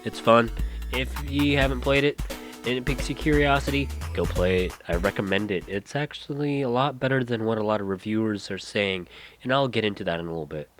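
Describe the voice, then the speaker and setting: A man's voice: mid-range voice, brisk, a bit bright, soft background music. A man hosting a solo podcast, congested and nasal from a head cold, rambling, trailing off and restarting mid-sentence. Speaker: podcast host.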